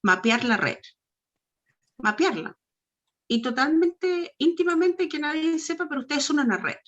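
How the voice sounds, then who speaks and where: A Chilean woman with a fairly high voice speaks earnestly to a panel, often breaking off and restarting her sentences. The sound is a muffled, lo-fi video call. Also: animated, a little slow. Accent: Chilean Spanish. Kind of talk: casual panel talk. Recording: dull lo-fi video call audio.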